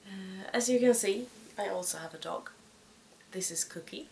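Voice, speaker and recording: A Swedish woman in her twenties hosting a podcast in casual chat, speaking with a Swedish accent and pausing often to find her words. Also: medium pitch, creaky, dark tone, a little hiss or hum. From Sweden. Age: 20s. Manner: hesitant, searching for words. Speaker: podcast host.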